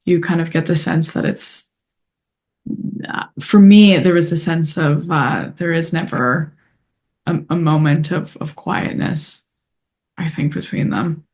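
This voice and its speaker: A woman's voice: low-pitched, unhurried, a little mellow. A woman hosting a festival Q&A, making a tentative follow-up remark as she searches for words. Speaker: festival Q&A host.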